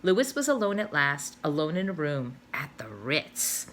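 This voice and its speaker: A teacher reading a children's novel aloud, telling the story with animated expression. Her voice is bright and crisp, swinging widely in pitch as she narrates. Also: measured pace.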